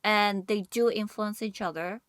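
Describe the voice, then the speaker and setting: Casting: female podcast co-host, mid-pitched voice, expressive casual talker, close and dry sound. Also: unhurried, clean tone, dry recording.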